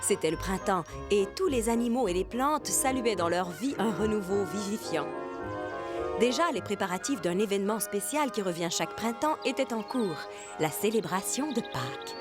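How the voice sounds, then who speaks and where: A woman narrating an animated fairy tale as a scripted storyteller. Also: medium pitch, moderate pace, loud music behind the voice, lightly acted. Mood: gentle storytelling.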